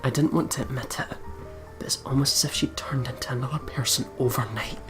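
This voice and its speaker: A man reading visual novel narration aloud as a let's player, dramatically, dropping to a hush at phrase ends. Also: mid-range voice, fairly even intonation, fast, music in the background.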